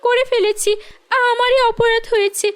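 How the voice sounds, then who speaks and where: A woman voicing a jealous, greedy young girl in a cartoon fairy tale, with quick, excitable, whiny exclamations. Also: very high voice, pitched above the performer's own voice. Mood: pleading. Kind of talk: animated fairy-tale voice acting.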